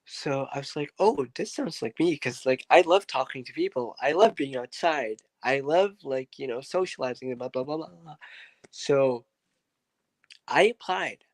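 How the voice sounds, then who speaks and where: A man's voice: medium pitch, expressive, normal speed, no room sound. A man, a podcast guest, telling a story in a casual, rambling way with many fillers and asides.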